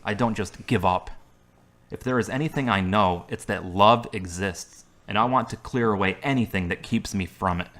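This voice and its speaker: A man's voice: lowish voice, moderate pace, fairly bright tone, close to an everyday voice. Man as sardonic recovering carpenter, dry humor. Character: wry male carpenter recovering from surgery. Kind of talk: amateur audio drama.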